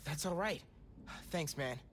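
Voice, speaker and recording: An actor playing a kind, earnest young male superhero who talks softly. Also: fairly high pitch, a little slow, background noise, close to an everyday voice. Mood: grateful. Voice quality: soft-spoken, earnest.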